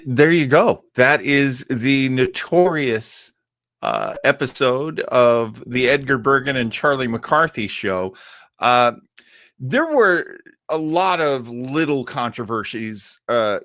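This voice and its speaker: A middle-aged man hosting a show with casual, off-the-cuff commentary and halting, conversational asides. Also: medium pitch, lively intonation, fairly bright tone, no echo, clean studio sound. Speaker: show host.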